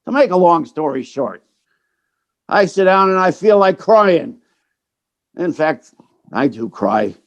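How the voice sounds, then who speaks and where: A man's voice: a little high, big pitch swings, takes it slowly, long pauses, muffled recording, full character voice. A man playing a sentimental theatrical agent who tells his sad tale in a folksy, slangy, wistful way, in old time radio drama style.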